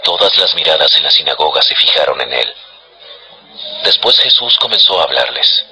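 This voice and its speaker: A man's voice: very deep voice, big pitch swings, normal speed, pushed and bright, soft background music, rough recording. A man narrating a dramatized Bible story from a script.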